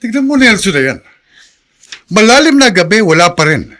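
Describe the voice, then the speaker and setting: A man's voice: high-pitched, very animated, normal speed, bright, forceful tone, exaggerated voice. An actor playing a stern, hot-tempered middle-aged father in Filipino radio drama, domineering and scolding. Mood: indignant, stern.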